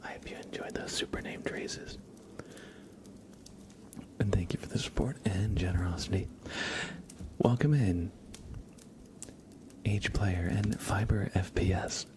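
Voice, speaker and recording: A man hosting an ASMR livestream in a hushed, unhurried, rambling way, speaking softly and casually close to the microphone. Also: sits low, expressive. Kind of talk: live ASMR, soft whispering and quiet casual chat.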